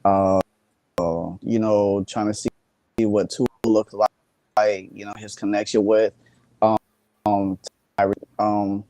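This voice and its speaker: A sleepy man joining a sports talk show as a guest, speaking haltingly with many fillers and restarts. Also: fairly low pitch, level tone, mellow, no echo.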